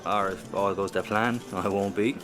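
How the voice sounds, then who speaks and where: A man's voice: on the low side, steady tone, measured pace, natural voice. An actor with an Irish accent playing a roguish, down-on-his-luck space captain in an audio comedy, flippant and rueful. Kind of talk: audio sitcom acting.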